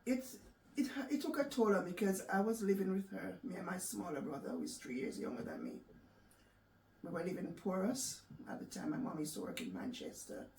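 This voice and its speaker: A woman from Jamaica with a Jamaican accent, recounting her life story in a soft, reflective way with halting restarts. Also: on the low side, very animated, creaky. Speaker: interview guest. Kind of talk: personal storytelling in an interview. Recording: echoey room, distant mic, faint background noise.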